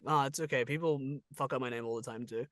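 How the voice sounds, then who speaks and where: A man's voice: medium pitch, steady tone, fast, fairly bright tone. A man with a New Zealand accent hosting a casual interview in an informal, encouraging, jokey way with some swearing. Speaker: interview host.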